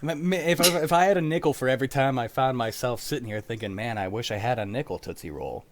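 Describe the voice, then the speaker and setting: A man's voice: medium pitch, fast. Wry young man hosting casually. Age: young adult. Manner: wry, joking, sweary. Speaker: host of a livestreamed radio script show. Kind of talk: casual chat after a show. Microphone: home microphone on a video call.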